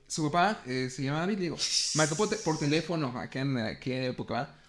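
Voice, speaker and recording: A young adult man, co-hosting a casual chat, telling stories with halting restarts. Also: fairly high pitch. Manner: storytelling, halting restarts, laughs while talking. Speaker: podcast co-host.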